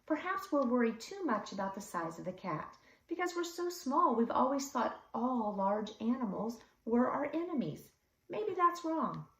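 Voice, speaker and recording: A woman voicing a calm, reasonable father, a tiny man in a children's story, in a measured, reflective manner. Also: fairly high pitch, reverberant, pitched above the performer's own voice, rough recording. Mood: thoughtful.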